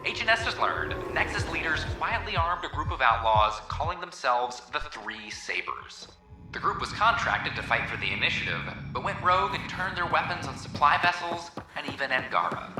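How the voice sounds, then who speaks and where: A man acts as a space-station news announcer in a sci-fi video game. He reads scripted bulletins with formal drama and big swings in pitch. The broadcast echoes, with music clearly behind it. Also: expressive, normal speed, natural voice. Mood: grave, formal. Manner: formal, dramatic newsreader delivery.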